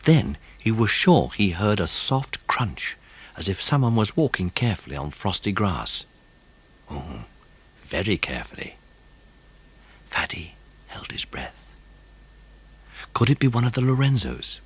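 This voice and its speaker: A man narrating a children's mystery audiobook in a suspenseful, expressive way. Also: on the low side, measured pace, pauses often, slightly rough.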